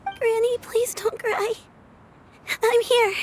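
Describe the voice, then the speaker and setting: A woman's voice: very high voice, fairly even intonation, takes it slowly, slight background noise. A woman voicing a young grandchild, speaking in a worried, pleading way. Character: devoted young grandchild, anxious and loving. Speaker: game character. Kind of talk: dubbed game dialogue.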